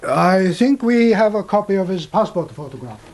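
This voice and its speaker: An actor playing a courteous official and interpreter, formal, deferential and slightly hesitant, in a radio drama. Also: male voice, high-pitched, animated, moderate pace, darkish tone, slight background noise. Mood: courteous, helpful.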